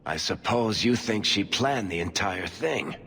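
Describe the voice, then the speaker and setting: A man playing a wry, loyal storyteller under interrogation in a video game, with a husky voice and a sardonic, emphatic manner. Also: fairly low pitch, measured pace, a bit bright, big-room sound. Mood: sardonic, defensive.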